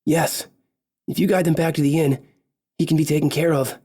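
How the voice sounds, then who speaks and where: A man's voice: fairly high pitch, fairly even intonation, moderate pace, dark tone, breathy edge. A man voicing an earnest young male adventurer who lost his merchant father.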